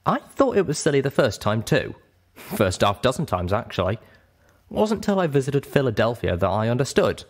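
A man's voice: mid-range voice, big pitch swings, moderate pace, pitched above the performer's own voice. A man voicing a friendly male dragon who explains and reminisces as a fond storyteller in an audiobook. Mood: reminiscing.

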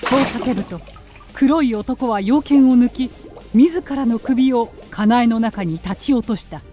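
Mellow woman narrator over music. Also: a little high, measured pace. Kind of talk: scripted radio drama narration.

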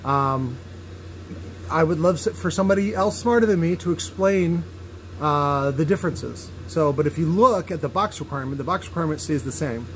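A man giving casual, unscripted technical commentary as he demonstrates tests, halting and thinking aloud in an opinionated way. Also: a little high. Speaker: host demonstrating speaker tests. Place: indoor workshop at a cluttered bench. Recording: noisy workshop with background hum.

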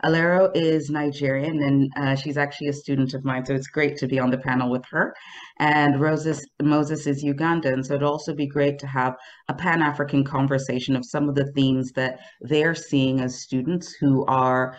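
A woman professor moderating a conference interview over a video call, clear and organized as she introduces the guest and panel. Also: extremely low pitch, steady tone, moderate pace, lo-fi sound. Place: room with a colorful painting behind. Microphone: video call, earphones.

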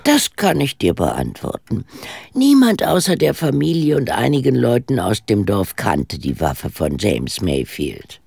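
Casting: shrewd elderly lady detective, low husky voice, expressive melody, unflappable, audio drama. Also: unhurried, no room sound, studio quality, full character voice. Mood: shrewd, accusing. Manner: composed, pointed, deductive. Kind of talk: German audio drama acting.

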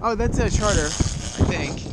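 A man's voice: high voice, unhurried. A man chatting casually as part of the crew on a fishing boat. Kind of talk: casual chat while fishing. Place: outdoors on a small fishing boat at sea. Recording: loud wind and boat noise.

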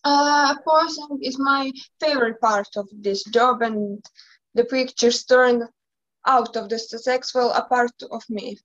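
A young Croatian woman with a high, light voice introduces herself in careful English. She repeats words now and then as she searches for them. The sound is dull and lo-fi, as on a video call. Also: measured pace, fairly bright tone. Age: 20s. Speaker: pageant candidate, economics student. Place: indoor room.

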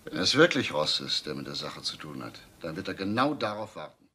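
An actor playing a shrewd, deliberate police detective in a German crime radio play, musing and often tagging questions on. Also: male voice, sits low, big pitch swings, pushed and bright, exaggerated voice. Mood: grave. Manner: musing, repeats words, questioning tags. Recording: faint noise and clicks, older radio play.

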